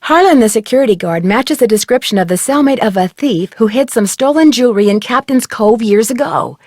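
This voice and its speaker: A young female amateur detective in a game. Her voice is somewhat high and the recording is very dry. She sounds earnest and inquisitive, laying out her case. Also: animated, fairly quick, a little mellow, no echo. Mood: suspicious, explaining. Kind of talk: scripted game dialogue.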